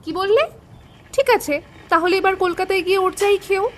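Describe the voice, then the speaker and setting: A woman's voice: very high-pitched, normal speed, a bit bright, a little hiss or hum. An actress playing a feisty older wife in an audio drama, nagging her husband with affectionate sarcasm. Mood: sarcastic.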